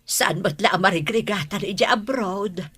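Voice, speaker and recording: A woman's voice: mid-range voice, unhurried, fairly bright tone, slightly rough. A woman playing a fretful, wary older mother in an Ilocano Filipino radio drama. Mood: concerned.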